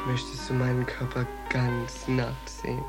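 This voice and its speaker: An actor playing a blunt, provocative, streetwise transvestite prostitute in a German radio play. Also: male voice, medium pitch, monotone, measured pace, loud music behind the voice, natural voice. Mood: soft, provoking. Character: defiant, streetwise transvestite prostitute in New York.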